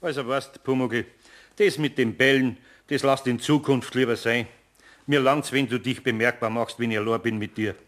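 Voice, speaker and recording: A man's voice: medium pitch, steady tone, normal speed, a bit bright, natural voice. An actor playing a good-natured, grumbling older master craftsman in a children's radio play, with a Bavarian accent and a homely, mildly chiding manner. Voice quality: husky.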